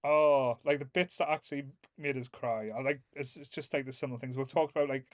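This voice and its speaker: A man's voice: medium pitch, fairly even intonation, a little fast. A man from Northern England, co-hosting a casual podcast, teasing and joking with the others. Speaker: podcast co-host. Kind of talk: casual chat.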